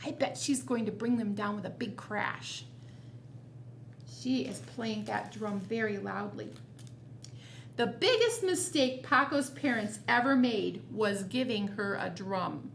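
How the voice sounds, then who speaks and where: Lady doing storytime for kids, expressive w little asides, echoey room, bit of noise. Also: mid-range voice, slow, gravelly. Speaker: library storytime reader. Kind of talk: expressive picture-book read-aloud for children. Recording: roomy echo, noticeable background noise.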